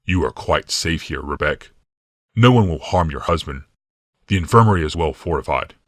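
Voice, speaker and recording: A man voicing an imperious, calculating male military ruler in audiobook dialogue, measured, commanding and condescending. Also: very deep voice, lively intonation, a bit bright, dry recording, exaggerated voice. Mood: gently mocking.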